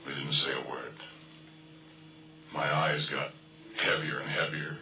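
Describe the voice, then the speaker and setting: Very deep-voiced rough oil-rig storyteller. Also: male voice, steady tone, a little slow, bright, forceful tone, a little hiss or hum, full character voice. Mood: haunted, grim. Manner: folksy, slangy storytelling. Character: Porky, rough working-class male oil-rig hand telling a grim tale. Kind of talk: old-time radio horror drama acting, first-person narration. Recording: old radio recording, distant, music underneath.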